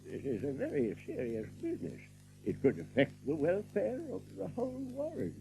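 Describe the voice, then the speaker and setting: Creaky, grave old rabbit councillor. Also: male voice, high voice, wildly swooping pitch, normal speed, dark tone, exaggerated voice. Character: male rabbit councillor, grave elderly warren elder. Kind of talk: dramatised audiobook acting. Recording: noisy recording with hum.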